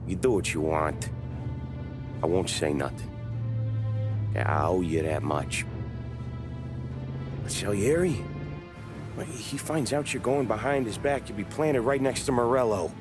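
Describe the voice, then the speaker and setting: Low-voiced, blunt American mobster. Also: male voice, expressive, a little slow, pauses often, over music. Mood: resigned warning. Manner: blunt, scolding, slangy. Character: gruff, cautious senior American gangster, loyal to boss. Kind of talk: video game cutscene acting.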